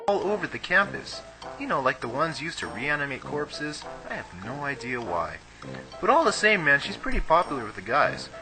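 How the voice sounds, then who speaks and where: A man's voice: a little high, very animated, normal speed, music in the background, poor audio. A young man playing a cocky, gossipy, girl-crazy schoolboy in an amateur anime dub, talking in emphatic slang. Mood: gossipy, knowing.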